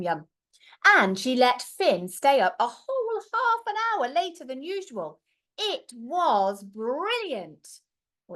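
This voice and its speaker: A woman reading a storybook aloud to young children like a primary teacher, expressively and with little asides to her listeners. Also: on the high side.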